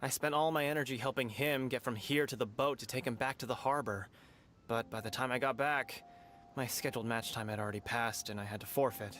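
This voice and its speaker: A man voicing a dejected, earnest young martial arts fighter in a video game, talkative and grumbling in an animated way. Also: mid-range voice, a little fast, slight background noise, lightly acted. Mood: dejected, apologetic. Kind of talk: video game character dialogue.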